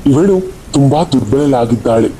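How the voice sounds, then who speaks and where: A man's voice: medium pitch, lively intonation, normal speed, dark tone, slight background noise, natural voice, poor audio. A man playing an uneasy, cautious village man in a cartoon horror story, speaking warily. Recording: faint rain and thunder behind voice.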